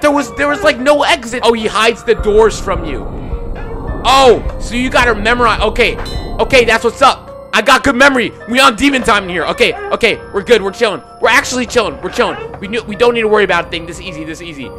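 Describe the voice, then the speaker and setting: A young man playing a horror game with a headset on in a dark room, talking in slangy, excitable, jokey bursts and yelling when scared. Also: sits high, quick pace. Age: young adult. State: losing his voice. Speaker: gaming YouTuber. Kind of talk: reactive horror game commentary. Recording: game music underneath.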